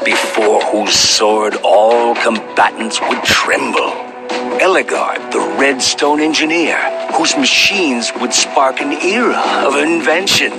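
Solemn epic storyteller for a game, takes his time, big music under him. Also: medium pitch. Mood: solemn, grand. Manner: grand, solemn, measured. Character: grand, solemn male storyteller of heroic legends. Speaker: storyteller narrator. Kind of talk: scripted fantasy prologue narration. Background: loud music.

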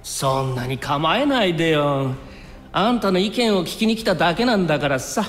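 Soft-hearted young male game hero: casual but earnest, highish lively voice, music behind, reverberant. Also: normal speed. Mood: breezy. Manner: casual, breezy talk with an earnest core. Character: easygoing, soft-hearted young time-traveling fighter. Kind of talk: anime game cutscene acting.

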